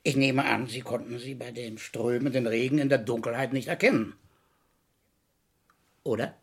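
An actor playing a shrewd, needling middle-aged police inspector in a crime radio play, deliberate and slyly sympathetic. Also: male voice, medium pitch, steady tone, vocal fry, breathy edge. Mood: mock-sympathetic. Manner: sly, deliberate, feigned sympathy with pauses.

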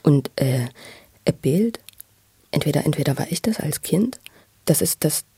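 A man giving halting personal testimony in conversation, repeating words as he searches for how to say things. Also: fairly high pitch, animated, vocal fry, mellow, breathy edge, no room sound. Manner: stammering word repetitions, searching for words. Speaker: interviewee recounting his own experience.

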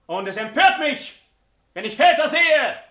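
A haughty young libertine nobleman in a classical radio drama. His high, sharp voice rings in an echoey room with insolent arrogance. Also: animated, unhurried, heavily acted. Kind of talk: classical radio play acting.

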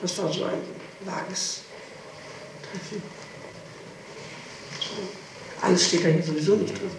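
A woman's voice: on the low side, steady tone, creaky. Echoey, distant woman on old tape. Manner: halting, repeats and breaks off phrases. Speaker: person being questioned by police. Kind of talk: answering questions in an interrogation. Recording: distant, echoey, noisy 1960s tape recording.

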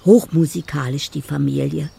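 An actress playing a dreamy older woman lost in poetic fantasy and memories in a radio drama, speaking slowly and haltingly. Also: sits low, steady tone, creaky, warm tone, breathy edge, exaggerated voice. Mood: dreamy, reminiscing. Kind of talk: radio drama monologue, reading out love letters.

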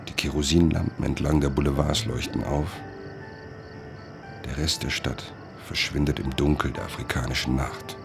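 A sombre radio drama narrator, super deep n creaky, measured, descriptive storytelling. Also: male voice, fairly even intonation, over music. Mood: sombre, observant.

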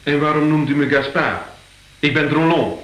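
An actor playing a cocky, impatient young robber on the run in a radio play, brash and mocking. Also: male voice, a little high, moderate pace, a bit bright, reverberant, lightly acted, rough recording. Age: young adult.

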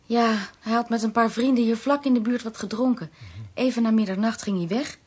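A woman's voice: on the high side, a little fast, darkish tone, husky. A woman acting a polite, bereaved wife in a radio play, courteous and subdued. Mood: wistful, composed. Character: polite bereaved wife hosting visitors with tea. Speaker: actress in a radio drama.

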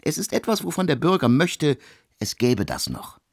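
An actor playing an austere, aloof intellectual composer in a literary radio drama, speaking in deliberate, haughty declarations. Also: male voice, on the high side, huge pitch swings, vocal fry, no echo, clean studio sound, full character voice. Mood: scornful, intense.

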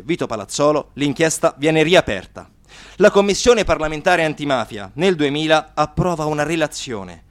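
A man narrating a radio theatre piece with dramatic delivery, moving between hushed and emphatic phrases. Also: mid-range voice, no echo.

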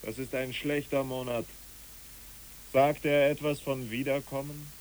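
An actor playing a brooding, grieving man in a poetic German radio drama, speaking in halting, musing phrases. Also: medium pitch, flat delivery, a little mellow, close to an everyday voice. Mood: resigned. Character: brooding, grieving male friend, haunted by memories. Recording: clear tape hiss.

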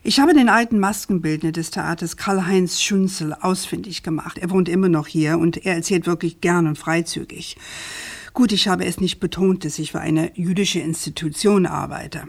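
Persistent, low-voiced journalist. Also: female voice, moderate pace, creaky. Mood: insistent. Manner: articulate, pointed, argumentative. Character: persistent, assertive female investigative journalist. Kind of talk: radio crime drama dialogue.

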